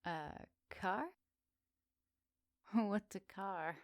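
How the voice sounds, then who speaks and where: A woman plays a centuries-old witch of the woods in an intimate ASMR roleplay. She speaks slowly at a medium female pitch, coy and teasing, with expressive turns and soft pauses. The sound is dry, with very little room around her. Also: no echo. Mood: curious, amazed.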